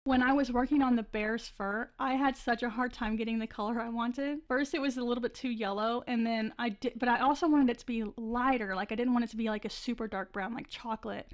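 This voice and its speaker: A woman's voice: fairly high pitch, fairly even intonation, brisk, hardly any pauses. A young woman narrating her drawing process in a casual, chatty way, her voice a bit scratchy from the flu. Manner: chatty, many likes and restarts, laughs often. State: scratchy voice, getting over flu. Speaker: art channel host.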